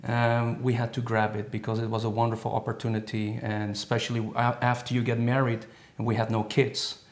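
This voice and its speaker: A man's voice: medium pitch, monotone, fairly quick. A man from the Netherlands, a talk show guest, explaining things in a friendly, storytelling way. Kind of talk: casual chat. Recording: echoey studio.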